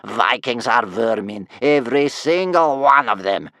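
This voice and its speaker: A man voicing a scary, furious old grandmother with a rasping, stern, doom-filled delivery for a storybook. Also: mid-range voice, animated, unhurried, dry recording, studio quality. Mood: bitter, doom-filled fury. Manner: stern, venomous, loud declamation.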